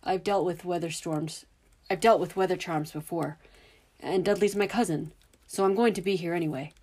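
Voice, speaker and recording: A woman's voice: on the low side, steady tone, normal speed, a little mellow, slightly rough. A woman voicing a polite, reassuring young wizard man.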